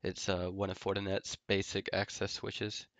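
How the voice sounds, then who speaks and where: Congested, nasal man with a gravelly low voice. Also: moderate pace, dry recording. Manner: relaxed, filler-heavy explaining while typing commands. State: congested from a head cold. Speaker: network engineer tutorial host. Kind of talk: casual screen-recorded tech tutorial. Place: home office.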